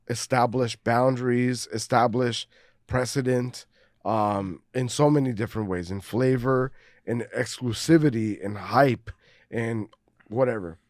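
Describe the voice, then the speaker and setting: A man's voice: medium pitch, a little mellow, no echo, studio quality. A man co-hosting a casual beer podcast, talking at length, swearing freely and laughing often. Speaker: podcast co-host. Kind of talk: casual chat, beer review.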